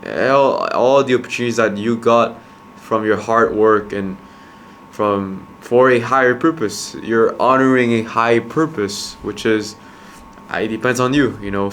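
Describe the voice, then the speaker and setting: Brief: young man, slightly low voice, earnest vlog monologue, stop-start phrasing, faint room ambience. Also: fairly bright tone, slight background noise. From Quebec, Canada. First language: Khmer. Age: young adult. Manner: halting, many restarts and repeated words, earnest. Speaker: vlogger, entrepreneur. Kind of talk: reflective casual monologue to camera.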